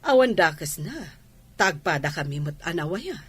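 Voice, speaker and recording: A composed lady boss in a radio drama. Her voice is lowish and husky with plenty of melody, in an Ilocano Filipino accent. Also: sing-song, normal speed, exaggerated voice. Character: composed well-off woman restaurant owner defending her driver.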